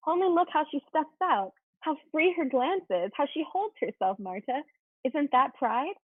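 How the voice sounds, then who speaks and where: Thin, dry, phone-like audio of a girlish schoolgirl in a period play, acted by a woman. The voice sits fairly high with some rasp. The manner is opinionated and scornful. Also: lively intonation, moderate pace, dry recording. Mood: challenging. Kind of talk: dramatic reading of a period play.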